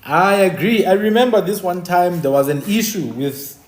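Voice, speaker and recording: A South African man talks straight to his audience as host, fired up and exasperated, pushing rhetorical questions. His voice sits a little high for a man. The sound bounces around a reverberant room. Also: lively intonation, normal speed. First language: a South African language, not English. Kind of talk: casual monologue.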